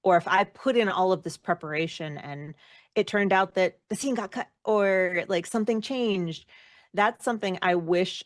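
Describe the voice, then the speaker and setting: A woman's voice: medium pitch, moderate pace, no room sound, studio quality. A woman giving casual, reflective interview answers as a guest, candid and thinking aloud with frequent self-corrections.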